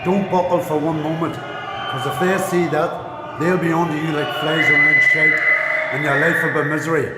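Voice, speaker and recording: An actor with a Northern Irish accent playing a hard, defiant rebel prisoner in a radio play. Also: male voice, on the high side, flat delivery, normal speed, very noisy, natural voice. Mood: hard, urgent. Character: defiant, hard male rebel prisoner giving gritty advice. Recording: echoey room with loud music.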